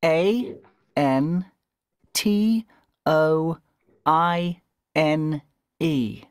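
A woman's voice: low-pitched, expressive, drawn-out words, smooth, no room sound, clean studio sound, full character voice. A woman voicing a friendly eighteen-year-old boy from France in a scripted textbook dialogue, carefully spelling out letters. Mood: plain.

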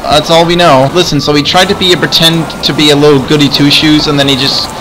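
A man's voice: a little high, flat delivery, fast talker, noisy background. A man improvising casual game roleplay, hesitantly and often repeating his phrases. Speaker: player in a Minecraft roleplay series.

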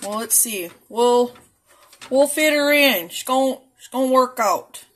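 A woman's voice: sits high, measured pace. Chatty woman cooking at home. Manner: chatty, homey, talks to viewers as y'all. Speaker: cooking vlogger. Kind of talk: casual cooking demo narration. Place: home kitchen, indoors.

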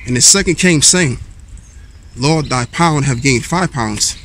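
A man in his thirties talks to a handheld camera as he strolls a park path. His preaching is easygoing and mid-pitched, broken up by fillers as he reads verses aloud. Faint outdoor noise sits behind him. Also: a little slow, a bit bright. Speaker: street preacher vlogger. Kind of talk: walking vlog, preaching and reading scripture. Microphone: handheld camera at arm's length while walking.

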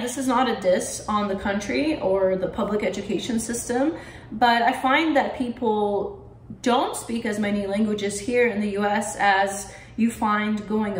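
A woman's voice: a little high, a little hiss or hum. A young woman from Poland, chatting casually to camera from an armchair in her living room and gesturing a lot. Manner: chatty, with lots of hand gestures. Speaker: vlogger. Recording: roomy echo in a living room.

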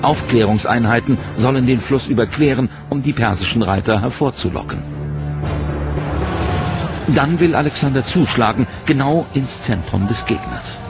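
A man narrates a history documentary in a gravelly, measured voice, his lines carried over loud orchestral music. His delivery is dramatic and deliberate. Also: mid-range voice, expressive.

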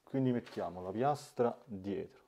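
A man's voice: lowish voice, measured pace, gravelly, warm tone. A man, giving a hands-on DIY tutorial as the host, recorded indoors in a small room. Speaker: host of a DIY smart-home channel. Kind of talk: hands-on tutorial narration to camera.

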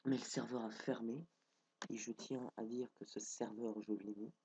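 A man's voice: mid-range voice, fairly even intonation, moderate pace, warm tone, a little hiss or hum, low-quality recording. A young man with a cold and a stuffy nose, casually commenting as he builds in a game, thinking aloud with frequent hesitations. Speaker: gameplay video creator.

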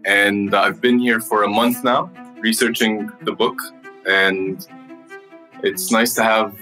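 Male podcast host, lowish voice, earnest and reflective, faint music under the intro. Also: fairly even intonation, takes it slowly, pushed and bright, rough recording. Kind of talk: casual interview chat.

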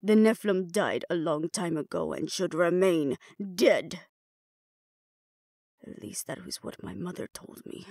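Woman acting a slow, grudging vampire elder. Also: lowish voice, expressive, creaky, darkish tone, no echo, clean studio sound, heavily acted. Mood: solemn, defiant. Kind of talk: audio roleplay acting.

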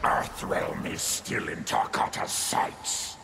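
An actor playing a savage, defiant monster warlord in a video game, with a growling, blunt, menacing delivery. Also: male voice, slow pace, close to an everyday voice. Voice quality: deep gravelly growl. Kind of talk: video game character dialogue. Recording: loud noise behind voice.

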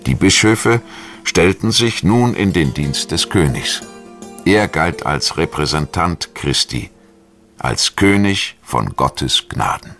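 A man's voice: deep voice, expressive, slow pace, vocal fry, fairly bright tone. A man reading scripted documentary narration as the narrator. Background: background music.

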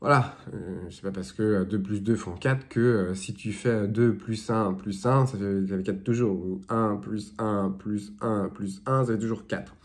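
A man's voice: on the low side, steady tone, a little slow, hardly any pauses. A man in his thirties with a cold talks in a loose, rambling way, stacking fillers and false starts. He is recorded in a small plain room, close to a phone or camera. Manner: rambling, full of fillers and restarts. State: has a cold. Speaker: spiritual guidance vlogger. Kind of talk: casual chat, then reading aloud from a dream dictionary. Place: small indoor room with bare walls.

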